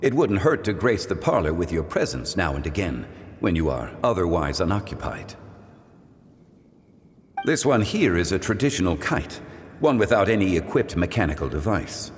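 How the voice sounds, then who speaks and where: An actor voicing a composed, wise gentleman scholar in a video game, speaking in a formal, measured, erudite way. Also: sits low, big pitch swings, big-room sound. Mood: gently persuasive. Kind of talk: English video game voice acting. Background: game music.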